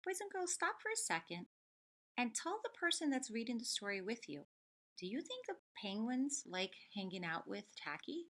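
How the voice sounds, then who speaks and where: Lady teacher doing a read-aloud for little kids, bright voice, really animated, explains stuff carefully. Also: fairly high pitch. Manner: deliberate, explanatory, addressing children directly; expressive storybook delivery. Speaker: elementary school teacher reading aloud. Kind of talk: read-aloud lesson for young children.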